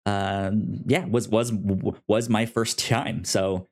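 A man co-hosting a casual movie discussion, enthusiastic, with halting delivery and repeated, stammered sentence starts. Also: fairly low pitch, steady tone. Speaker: podcast co-host.

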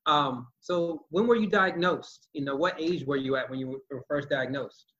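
A man's voice: fairly high pitch, moderate pace, fairly bright tone, muffled recording. A man hosting a casual interview, talking in a friendly, loose way and often repeating words. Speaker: interview host. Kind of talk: casual interview over a call.

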